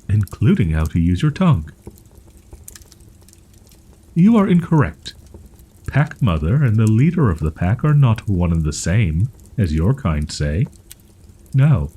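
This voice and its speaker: A man acts out a young wolf-boy in an intimate audio roleplay, husky and mellow. He is proud but shy and wary of humans, taking his time over old-fashioned, formal phrases. His pitch moves around freely as he stumbles into flustered stammers. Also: full character voice. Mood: earnest, explaining. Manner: archaic formal diction, halting, flustered stammers. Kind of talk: audio roleplay, character acting, ASMR.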